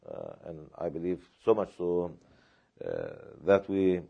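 A man from Palestine answering questions at a panel discussion, speaking in a measured, halting way with many fillers and repeated words. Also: low-pitched, huge pitch swings, creaky, mellow, highs cut off.

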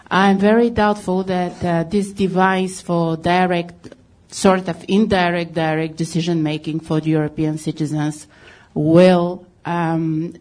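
Audience member, woman from Bulgaria, lower voice, hesitant questioner. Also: a little mellow, low-quality recording. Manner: hesitant, with many fillers. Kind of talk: question at a panel discussion.

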